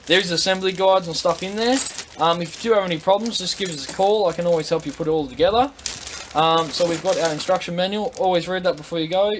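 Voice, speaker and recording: A man's voice: sits high, fast talker. An Australian man giving an informal, plain-spoken, step-by-step product demonstration in a casual instructional style. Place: indoor workshop at a tool bench. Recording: noisy workshop recording with clicks.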